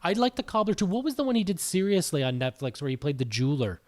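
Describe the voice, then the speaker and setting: A man's voice: on the high side, big pitch swings, fast. A man co-hosting a podcast, chatting casually about movies with plenty of slang and swearing. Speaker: podcast co-host.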